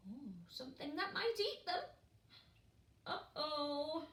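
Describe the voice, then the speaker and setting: A woman teacher reading a picture book aloud to children, gently asking them questions as she goes. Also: sits high, lively intonation, slow pace, recorded from a distance. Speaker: primary school teacher. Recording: roomy sound from off the mic.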